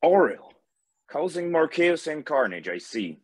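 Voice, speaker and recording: An actor playing a stern, righteous giant sun god in an animated fantasy table read. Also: male voice, fairly high pitch, unhurried, breathy edge, heavily acted. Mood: stern, commanding. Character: towering, righteous, radiant male sun god.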